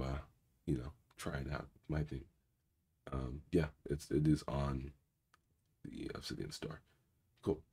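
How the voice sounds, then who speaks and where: A man hosting a casual live coding session. He is sick, and his naturally low, rumbly voice sounds raspier than usual. He rambles through the work with many fillers and mutters to himself. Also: darkish tone. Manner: rambling, filler-heavy, mutters while clicking around. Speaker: cybersecurity channel host. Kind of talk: casual live coding walkthrough, reading viewer questions.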